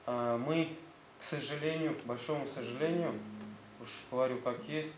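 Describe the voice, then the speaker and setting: A man's voice: medium pitch, fairly even intonation, normal speed. A man with a Tatar accent, a school director presenting his gymnasium to visiting officials, hesitant and full of fillers. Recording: roomy sound, faint background noise.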